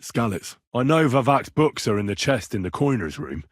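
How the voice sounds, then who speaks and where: A man's voice: mid-range voice, sing-song, normal speed, dry recording, clean studio sound. A man acting as a plain-spoken, righteous young medieval man in video game dialogue, direct and earnest in manner. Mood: earnest, matter-of-fact. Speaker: voice actor.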